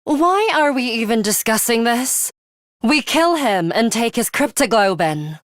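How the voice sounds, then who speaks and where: A woman's voice: fairly high pitch, sing-song, measured pace, no echo, clean studio sound. A woman voicing a cold, bloodthirsty young female fighter in an English video game, formal and menacing with theatrical flourishes. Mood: cool, curt.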